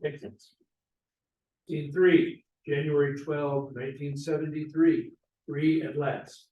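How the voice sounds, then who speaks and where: A man's voice: a little high, flat delivery, takes it slowly, dull audio. A man reading stage directions aloud as scripted narration. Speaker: stage directions reader at a play table read. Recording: echoey room, distant mic.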